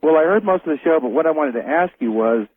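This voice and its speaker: A man's voice: a little high, animated, quick pace. An American man calling in to a radio show, casually putting questions to the host. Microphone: telephone. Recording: muffled narrow phone line on radio.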